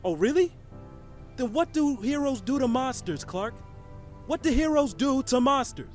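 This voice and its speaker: A bitter friend with a high voice in an audio drama, sarcastic and confrontational over a sense of betrayal. Light music sits behind. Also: male voice, big pitch swings, normal speed. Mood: bitter, accusing. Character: bitter, accusing friend who feels betrayed.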